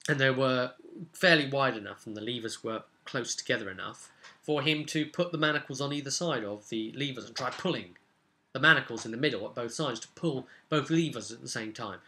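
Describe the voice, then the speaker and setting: A man talks off the cuff like a game master recapping a session. His voice is very bright and forceful at an ordinary pitch, and he rambles haltingly before punching out phrases with emphasis. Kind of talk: casual unscripted vlog recap.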